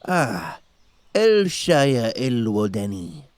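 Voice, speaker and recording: A man's voice: fairly low pitch, huge pitch swings, extremely slow, darkish tone, no echo, heavily acted. A man playing a formal, courteous but condescending gatekeeper in a fantasy audio drama, with mannered speech.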